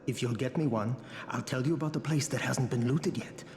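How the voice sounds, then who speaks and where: Mid-pitched, desperate family man played by an actor. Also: brisk, darkish tone, reverberant, a little hiss or hum, close to an everyday voice. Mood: bargaining, earnest. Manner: earnest, pressing. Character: desperate family man bargaining to escape the slums. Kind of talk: video game cutscene dialogue.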